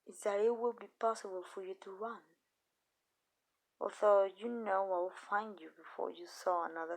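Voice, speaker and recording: Creaky, hushed vampire mistress, acted by a woman. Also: medium pitch. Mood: possessive, affectionate. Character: possessive, tender female vampire mistress. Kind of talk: ASMR roleplay, intimate scripted monologue.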